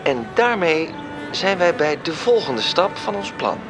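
An actor playing a confident, knowing celestial storyteller, narrating a radio drama in literary style. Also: male voice, a little high, sing-song, a little slow, music in the background. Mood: knowing, lyrical.

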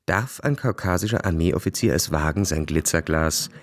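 An actor playing a proud, bored, cynical army officer who tells his story in a cool, measured, ironic way for a radio play. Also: deep voice, lightly acted. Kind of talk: literary radio play narration and dialogue.

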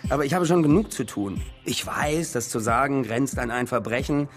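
A man's voice: medium pitch, animated, normal speed, soft background music, lightly acted. An actor playing a reluctant, flippant agency man in a satirical radio drama, wry and evasive.

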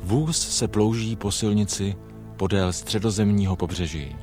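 An actor in a radio play as a male car advert presenter, delivering short, measured, declarative phrases. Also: on the low side, darkish tone, faint music behind, close to an everyday voice. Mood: measured, selling.

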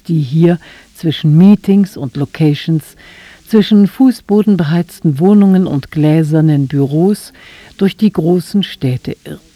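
Slow, very dark-voiced woman presenter. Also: lowish voice, no echo. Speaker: radio presenter introducing an audio drama. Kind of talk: scripted literary introduction.

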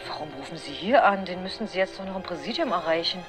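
Irritated wife, female actor, radio drama: curt, fast, sharply varied pitch, music behind. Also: lively intonation, lightly acted. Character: brusque, irritated wife answering the telephone. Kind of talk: German radio drama acting.

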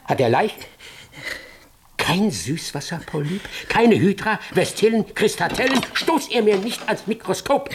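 An actor playing a pompous, fanatical doctor and scientist in a German radio drama, excitable and scolding, declaiming and repeating phrases emphatically. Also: male voice, on the high side, gravelly, slight background noise, heavily acted. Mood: agitated, demanding.

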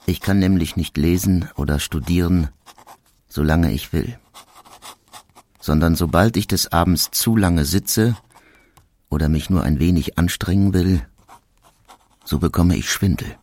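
A man reading the quoted letters of a young engineer in an audiobook, measured and reflective. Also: sits low, a little mellow. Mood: rueful.

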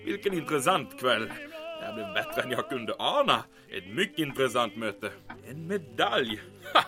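A pompous military major, acted out by a man, musing to himself in an audio drama. His voice swings up and down with giddy self-importance, vain and gloating. Music plays clearly behind him. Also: mid-range voice, very animated, lightly acted. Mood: smug, delighted. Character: vain, giddy German army major, pompous and easily excited.

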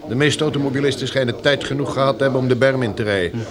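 An easygoing male pilot played by an actor in a radio drama. He talks casually at a medium pitch, with a busy crowd murmuring in the background. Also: rapid-fire, lightly acted. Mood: relaxed. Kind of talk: Dutch radio drama acting.

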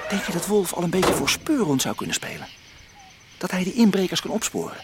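An actor playing an eager, excitable boy amateur detective in a radio play, talking colloquially. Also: high-pitched, fairly quick, music in the background. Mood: curious, excited. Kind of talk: Dutch radio play acting. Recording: faintly noisy old radio play recording.

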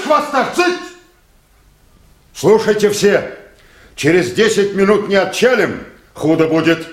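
An actor playing a gruff, bossy older boatman who barks folksy orders in a Soviet radio play. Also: high voice, reverberant. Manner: brusque, folksy, barking orders.

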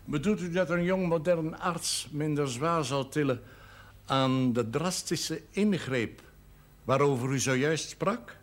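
A man's voice: fairly high pitch, takes it slowly. An actor playing an indignant, challenging man in a television drama, speaking formally and pointedly. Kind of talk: Dutch television period drama acting.

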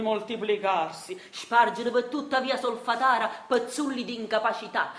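A woman's voice: a little high, fairly bright tone, close to an everyday voice. A woman as a rueful Neapolitan clerk, roomy echo. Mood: bitter, confiding. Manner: rueful, self-pitying storytelling. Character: meek henpecked Italian clerk of forty-seven, resigned and wistful. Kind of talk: theatrical radio monologue. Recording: roomy echo, faint noise.

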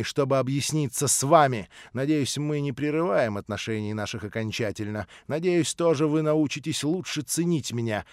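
A man reading an audiobook voices an older nobleman, lowered, measured and cool. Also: clean tone, dry recording, clean studio sound, close to an everyday voice. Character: older nobleman, cold, condescending and smooth.

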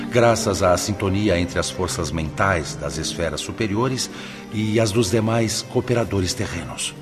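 An actor playing a benevolent, wise spirit mentor in a radio drama, explaining solemnly and patiently. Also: male voice, low voice. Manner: solemn, didactic, measured explaining. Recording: long cavernous reverb, loud music underneath. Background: music.

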